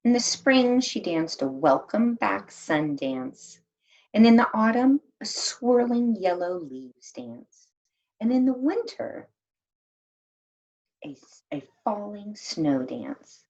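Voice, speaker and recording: A woman reading a children's story aloud in an expressive, deliberate manner with dramatic emphasis. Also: mid-range voice. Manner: animated, deliberate, dramatic emphasis for young listeners. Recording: dull, lo-fi sound.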